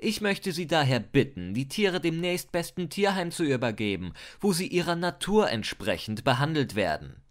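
A man playing a stern animal welfare officer in a fan audio drama, speaking in a formal, measured, bureaucratic way. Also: a little high, big pitch swings. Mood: stern, official.

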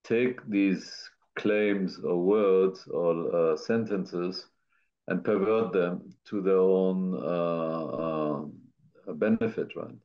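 A man's voice: sits low, steady tone, very slow. A middle-aged man from Germany with a German accent, talking reflectively as an interview guest over a video call. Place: indoor art studio, video call. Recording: slightly dull video-call audio.

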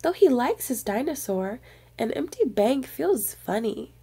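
A woman with a fairly high, very soft-edged voice reads a picture book to young children. She is expressive, as a storyteller speaking straight to her little listeners. Her tone is mellow and distinctly breathy. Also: a little slow.

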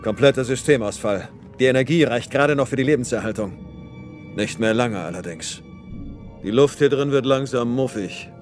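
A blunt, dry soldier in a space audio drama, played by a man. His voice is medium-pitched, with light music behind. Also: normal speed, a little mellow, close to an everyday voice. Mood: terse, grim. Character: blunt military clone soldier, dry and pragmatic.

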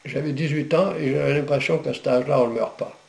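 An older man, a native Breton speaker, recounting his memories with halting pauses in a living room. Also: a little high, creaky, darkish tone, echoey room. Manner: halting, many pauses and fillers. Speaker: veteran interviewee.

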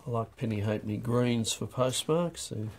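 A man talking through a stamp auction lot in a dry, unhurried way, with wry asides, recorded over a desk. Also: mid-range voice. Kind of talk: off-the-cuff lot walkthrough. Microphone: overhead camera on a desk.

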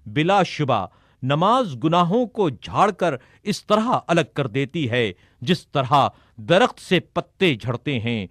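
A man reading a sermon text aloud in a measured, formal recitation. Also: mid-range voice, dry recording, clean studio sound. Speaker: reader of religious sermons.

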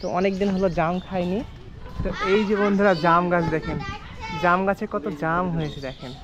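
A man's voice: sits high, fairly quick, highs cut off. A man narrating a casual village walk vlog, chatting to viewers and asking locals questions. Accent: Bengali. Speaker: travel vlogger. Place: outdoors in a village. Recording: noisy outdoor recording.

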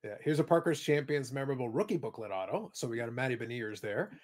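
Fast-talking man, chatty. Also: medium pitch, expressive, a little mellow. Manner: quick, chatty, dry joking. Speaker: podcast co-host. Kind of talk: casual podcast chat reviewing trading cards.

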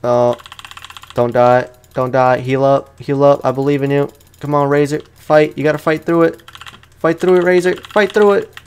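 A young man giving casual, slangy let's play commentary with animated, playfully exasperated reactions, as a gaming YouTuber. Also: fairly high pitch, normal speed, smooth. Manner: slangy, informal.

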